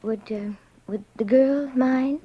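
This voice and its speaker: Elderly aunt, high plaintive voice, sweetly eerie, hushed storytelling, old radio thriller, muffled recording with music. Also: female voice, slow, husky, full character voice. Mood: wistful, eerie. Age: older. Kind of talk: 1940s-50s radio thriller acting.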